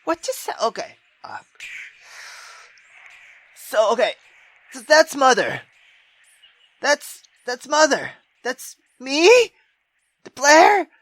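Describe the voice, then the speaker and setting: A man reading a visual novel aloud theatrically as a gaming YouTuber, with excitable, sweary commentary. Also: extremely high pitch, slow, pauses often, no echo.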